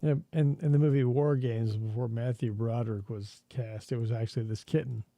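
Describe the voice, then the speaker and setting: A man's voice: mid-range voice, steady tone, fairly quick, over music. A man co-hosting a podcast, riffing casually on old commercials with crude jokes and mock voices. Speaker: podcast co-host.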